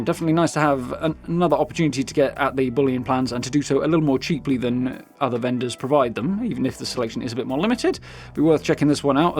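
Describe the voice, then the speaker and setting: A British man hosts a casual gaming news video. His voice sits at an average male pitch, and he moves informally between reading announcements and adding upbeat, chatty commentary. Soft music plays behind him. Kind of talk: casual news commentary, part read aloud. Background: background music.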